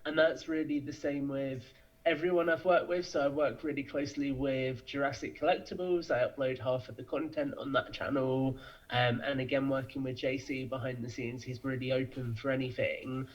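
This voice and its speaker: A man's voice: medium pitch, fairly even intonation, moderate pace. A young British man chatting casually and enthusiastically as a podcast guest, over dull, lo-fi audio. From United Kingdom. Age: young adult. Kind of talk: casual chat.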